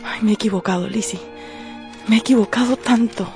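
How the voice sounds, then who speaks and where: A woman playing a gentle, sensitive young gentlewoman in a radio drama, plaintive and soft-hearted. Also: mid-range voice, fairly quick, faint music behind, close to an everyday voice. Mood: dejected.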